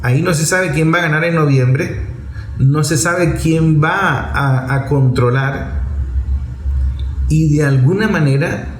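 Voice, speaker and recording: High-voiced man, echoey political commentary. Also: slow, a little hiss or hum. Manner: emphatic, didactic, repeats phrases for effect. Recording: echoey room with music underneath. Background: music.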